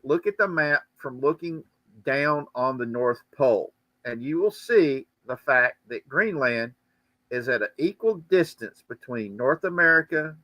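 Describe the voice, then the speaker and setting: Bright-voiced man chatting as livestream host. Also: medium pitch, expressive, a little slow, no room sound, low-quality recording. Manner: rambling, joking. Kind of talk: casual chat and political commentary.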